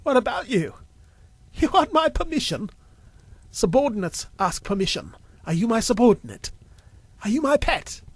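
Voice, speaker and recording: A man's voice: extremely high pitch, very animated, normal speed, long pauses, husky, dry recording, voice pushed up, heavily acted. A man voicing an eccentric, mischievous old man in an audio story, theatrical and full of teasing exclamations. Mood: teasing, provocative. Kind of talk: audio story character acting.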